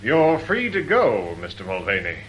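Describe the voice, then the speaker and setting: Terse sardonic officer, muffled sound. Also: male voice, fairly low pitch, wildly swooping pitch, normal speed. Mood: cool, ironic. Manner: terse, understated, dry. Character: clipped, drily sardonic senior police officer. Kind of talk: radio drama acting. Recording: dull old radio recording, faint noise.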